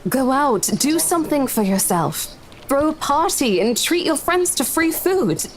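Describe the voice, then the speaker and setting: A woman's voice: sits high, very animated, measured pace. A woman playing a brash, party-loving saleswoman in a visual novel game, teasing and pushy with a theatrical playfulness. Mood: breezy, coaxing.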